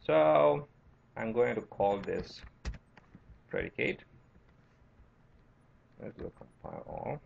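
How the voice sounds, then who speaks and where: Man, Romanian accent, mid-pitched, fry in the voice, informal tutorial teaching, frequent check-in tags. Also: animated, slow pace, long pauses, darkish tone, a little hiss or hum. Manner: explanatory, often checking with "right?" and "okay?". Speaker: university lecturer. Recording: dull, lo-fi lecture recording.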